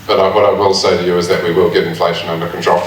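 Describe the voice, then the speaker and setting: A man, an election candidate answering in a measured way with frequent hesitations. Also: sits low, flat delivery, slight background noise. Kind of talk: public candidate forum answer. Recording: echoey hall from far off.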